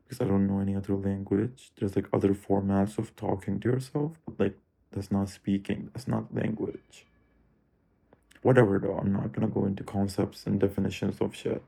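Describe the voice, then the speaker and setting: Guy rambling to himself, dark mellow voice, lotta fry, cursing and trailing off. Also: sits low, level tone, moderate pace. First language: Turkish. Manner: musing, drifting, profane, softly trailing off. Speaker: streamer. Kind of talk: rambling self-talk monologue.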